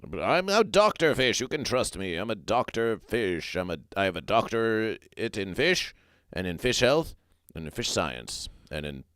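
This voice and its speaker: A man's voice: lowish voice, sing-song, a bit bright, clear, resonant tone, dry recording, studio quality. A man doing a rambling fish doctor. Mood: earnest rambling. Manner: halting, full of fillers and restarts. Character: rambling male fish doctor, self-assured and hesitant. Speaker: comedy performer. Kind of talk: improvised comedy sketch.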